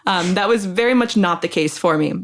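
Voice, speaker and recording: A young woman from Ohio, a podcast guest, chatting in a relaxed way with dry humor. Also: lowish voice, brisk. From Ohio, USA. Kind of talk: casual interview chat.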